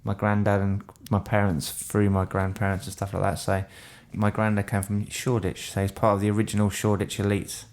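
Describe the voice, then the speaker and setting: Chatty low-voiced man with London twang. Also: monotone, a little fast, hardly any pauses, a little mellow. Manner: jokey, chatty, rambling. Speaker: podcast host. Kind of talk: casual chat.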